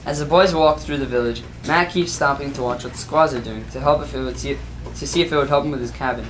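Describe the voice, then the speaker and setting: A woman's voice: extremely low pitch, fast. Woman reading a story aloud. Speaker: narrator. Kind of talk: student narration read aloud. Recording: noisy amateur recording.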